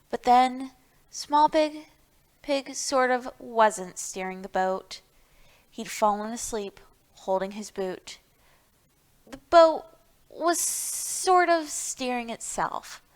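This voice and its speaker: A woman's voice: on the high side, lively intonation, slow. A woman hosting a library storytime, talking to young children and reading picture books aloud with questions and emphasis.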